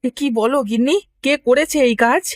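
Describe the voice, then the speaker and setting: A man playing an earnest, trusting village potter and husband in an animated moral story, with a plaintive way of talking. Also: extremely high pitch, a little fast, dry recording. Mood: surprised.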